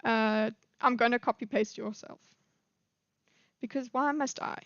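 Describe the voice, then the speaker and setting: A woman's voice: fairly high pitch, steady tone, a little slow, fairly bright tone, no echo, dull audio. A woman with a South African accent, commenting on a live stream in a rambling way, with many fillers and restarts.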